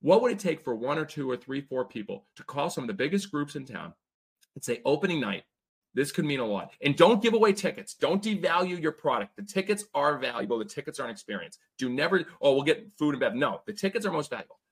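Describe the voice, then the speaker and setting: A man talking as an interview guest, telling stories with energy and growing excited. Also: fairly high pitch, fast talker, breathy edge. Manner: energetic, animated storytelling. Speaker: guest, sports team owner. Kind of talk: casual interview talk.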